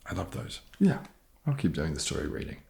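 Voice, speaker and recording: Deep gravelly guy talking softly, asmr q&a chat, bit self-deprecating and hesitant. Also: big pitch swings, brisk. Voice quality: low, gravelly, soft-spoken. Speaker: ASMR video creator and host. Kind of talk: soft-spoken ASMR question-and-answer chat.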